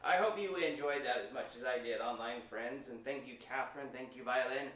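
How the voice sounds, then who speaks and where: A man's voice: mid-range voice, brisk, fairly bright tone, a little hiss or hum. A man hosting a casual demo, talking in an enthusiastic, folksy and playful way. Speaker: host of instrument demo. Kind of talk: casual presenting chat. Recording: roomy, echoing sound.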